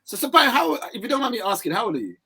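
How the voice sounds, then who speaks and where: Chatty podcast host, dry close sound. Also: male voice, extremely high pitch, very animated, racing, vocal fry, pushed and bright, breathy edge, no echo. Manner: chatty, repetitive fillers like "okay, okay" and "cool, cool". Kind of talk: casual podcast interviewing. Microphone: video call.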